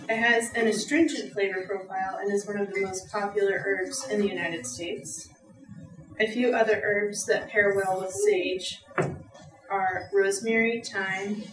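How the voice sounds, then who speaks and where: A woman's voice: medium pitch, background noise. A young woman stands before a lecture room, reading her presentation in an earnest, careful way with the odd "um". The sound is roomy and picked up from the back of the room. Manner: earnest, reads from notes with fillers. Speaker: student presenter. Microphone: far camera. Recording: echoey room from far off.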